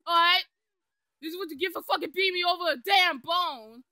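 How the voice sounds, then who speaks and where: Super high shrill voice dubbing a cartoon for laughs, swearing, sharp and loud. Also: brisk, dry recording. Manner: shouting, exclaiming, cursing. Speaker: amateur fandub voice performer. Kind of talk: profane comic cartoon fandub.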